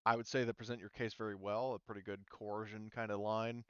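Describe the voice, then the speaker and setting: A man's voice: fairly low pitch, level tone, a little fast, a bit bright, smooth, no room sound, highs cut off. A man speaking casually as a game master, explaining rules and thinking aloud in a hesitant, informal way.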